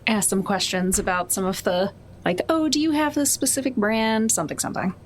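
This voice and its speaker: A woman's voice: medium pitch, animated, a little fast, slight background noise. A woman playing a tabletop roleplaying game, chatting casually in a playful, joking manner.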